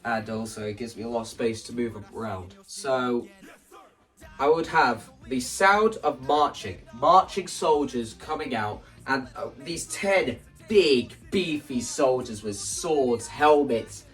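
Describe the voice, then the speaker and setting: A man explaining his staging ideas to camera in a casual, animated way, like a video creator walking viewers through a plan. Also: mid-range voice, takes it slowly. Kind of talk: casual spoken walkthrough to camera. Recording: voice distant from the mic, music underneath.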